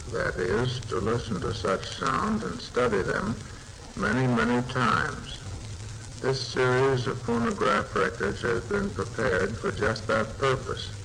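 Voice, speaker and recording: A man's voice: fairly high pitch, creaky, a bit bright, a little hiss or hum, low-quality recording. A man reading formal, didactic instructional narration on an old military training phonograph record.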